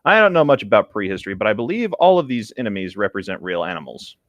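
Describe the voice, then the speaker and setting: A man co-hosting a story reading, switching between reading aloud and jokey, excitable banter. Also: lowish voice, fast talker, no room sound, dull audio.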